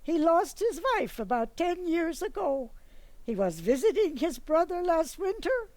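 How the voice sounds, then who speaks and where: A woman playing a blunt, flighty older mother in an amateur radio play, with a dramatic, drawn-out delivery. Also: very high-pitched, very animated, darkish tone, no echo, exaggerated voice. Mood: girlish, smitten.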